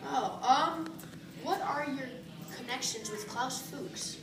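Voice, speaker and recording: A woman's voice: sits high, takes it slowly, crowd noise. A woman presenting a newsreel as host and interviewer, recorded in an echoey space with noise. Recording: echoey, distant mic, clear background noise.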